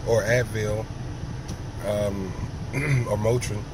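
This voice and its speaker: A man with a sore throat and a raspy, hoarse voice, talking slowly in a rambling casual monologue, often clearing his throat. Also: fairly low pitch, steady tone, noisy background. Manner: rambling, slow, many ums and throat clearing. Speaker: vlogger.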